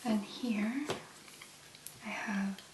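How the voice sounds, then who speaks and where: Female ASMR host, mid-pitched, hushed whisper and gentle delivery, unhurried, roomy recording with noise behind. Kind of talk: soft-spoken whispered ASMR. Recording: roomy echo, clear background noise.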